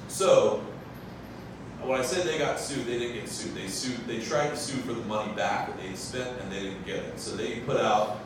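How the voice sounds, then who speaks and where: Young male plant owner, chatty explainer, roomy far-off bar recording. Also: fairly low pitch. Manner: chatty, explains with lots of fillers and self-corrections. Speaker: vinyl pressing plant owner speaking at a science cafe. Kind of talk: casual talk to a live audience. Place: large brick-walled bar room. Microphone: handheld mic, filmed from far off. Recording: echoey room, far camera, background noise.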